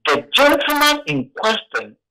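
A man calls in to a morning radio show over a phone line. He speaks in a heavy, halting way and often restarts. His voice is bright and swings widely in pitch. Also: sing-song, vocal fry. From Ghana. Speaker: caller.

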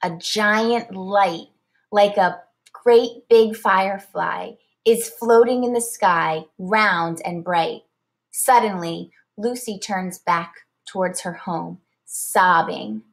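A woman reading a children's storybook aloud like a teacher, expressive and deliberate. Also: medium pitch, vocal fry.

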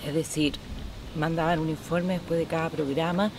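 Creaky-voiced middle-aged woman. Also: low-pitched, fairly even intonation, normal speed, background noise. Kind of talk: documentary interview testimony. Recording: clear background hubbub of people.

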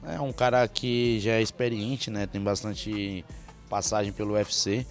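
Young guy hyping his next fight into a handheld mic, pretty deep voice, bit of music behind. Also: level tone, a little slow. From Brazil, Bahia. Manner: confident, hypes himself up. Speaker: MMA fighter. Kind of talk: casual interview. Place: press backdrop indoors. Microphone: handheld mic held by interviewer.

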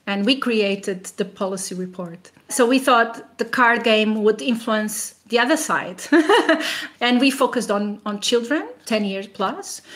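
Conversational woman researcher explaining her work. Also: medium pitch. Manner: explanatory, often punctuated with "you know" and rhetorical questions. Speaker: academic researcher being interviewed.

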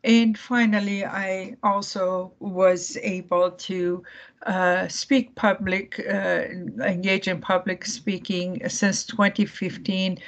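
Slow, halting woman with a gravelly voice. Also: medium pitch, little pitch movement, lo-fi sound. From Iran. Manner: halting, many fillers and restarts. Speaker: guest speaker. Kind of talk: recorded video-call presentation. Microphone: video call.

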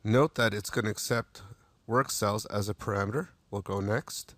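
A man narrating a screen-recorded software tutorial, walking through each step in a steady way with small restarts. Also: on the low side, normal speed, no echo.

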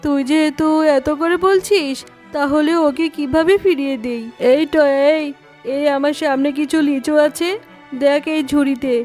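Lady doing a stingy tigress mom, high pitched, brusque and scolding, kids cartoon w/ faint music. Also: fairly even intonation, normal speed, darkish tone, natural voice. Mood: grudging. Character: stingy, scolding tigress mother, grudging and scornful. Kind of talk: children's cartoon story dialogue.

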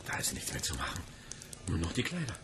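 A man's voice: deep voice, huge pitch swings, fast talker, over music. An actor playing a businesslike, curt male police inspector in a German radio drama.

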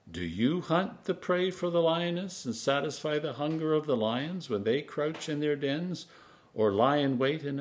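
A man in his sixties, an Anglican priest, reading scripture aloud in a measured, solemn, emphatic manner. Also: medium pitch, a little mellow. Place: home kitchen, indoors.

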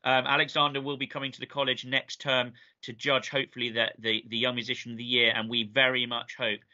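Bright, forceful Englishman hosting. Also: medium pitch, flat delivery, fast. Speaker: host, college music staff. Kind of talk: informal video-call interview. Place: book-lined room at home. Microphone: computer mic on video call. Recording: dull lo-fi video call audio.

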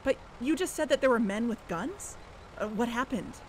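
Actress doing impatient podcast sleuth woman, highish voice, audio drama, bit of road noise. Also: animated, moderate pace, natural voice. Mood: incredulous. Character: dogged, impatient young woman podcast investigator. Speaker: podcast investigator. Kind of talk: audio drama acting with narration.

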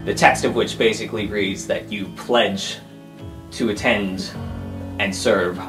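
A man acts as game master, narrating an improvised tabletop story. His voice is fairly low, with soft music playing behind it. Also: steady tone, measured pace. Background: background music.

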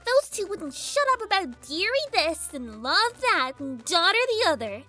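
A very high-pitched little girl pony villain for an audio drama. She is bratty and indignant, whining in exasperation with lively swings of pitch, over clear background music. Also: moderate pace, exaggerated voice. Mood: resentful. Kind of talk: fan fiction audio drama acting.

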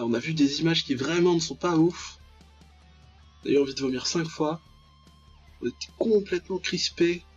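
A man's voice: on the high side, husky, muffled recording. A man giving casual, joking commentary on a visual novel, ironically paraphrasing and reacting aloud as he plays. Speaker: let's player, channel host.

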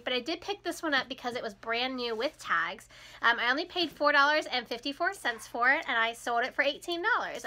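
Bright, high-voiced woman with a froggy cold. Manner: chatty and upbeat, laughs often. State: recovering from a cold, a bit hoarse. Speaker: resale vlogger. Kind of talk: casual chat. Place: small room at home, indoors.

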